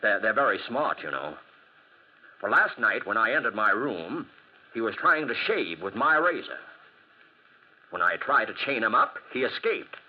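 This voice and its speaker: A husky, panicky trapped sailor. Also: male voice, fairly low pitch, very animated, moderate pace, long pauses. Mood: subdued, explaining. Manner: agitated, stammering, pleading. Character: panicky, trapped sailor confessing he owned an escaped animal. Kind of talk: 1940s radio acting. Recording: muffled vintage 1940s radio recording.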